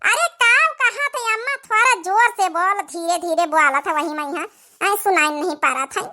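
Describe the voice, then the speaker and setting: An extremely high, bright cartoon voice from a woman actor plays a young married village woman with an Awadhi accent. She is talkative and caring, stretching out her rustic phrases like a fussing daughter in a comedy. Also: normal speed. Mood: concerned, insistent.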